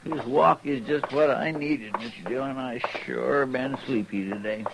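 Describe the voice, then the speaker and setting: Brief: male actor as good-natured western sidekick, rural American drawl, mid-pitched, simple and deferential, clearly noisy old radio. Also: close to an everyday voice. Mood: easygoing. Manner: folksy, slow-witted, deferential, rambling. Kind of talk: 1950s radio western acting. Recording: noisy vintage 1950s radio recording.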